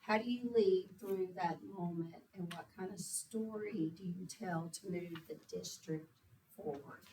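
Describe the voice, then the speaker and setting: Hoarse lady asking a question real slow, far off from the mic. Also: fairly low pitch, mellow. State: hoarse, losing her voice. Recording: distant mic, faint noise.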